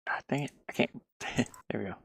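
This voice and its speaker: A game developer chatting casually and haltingly while working at a computer, murmuring half to themselves. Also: no room sound, studio quality. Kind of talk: casual screen-share chat while working. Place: inside a van.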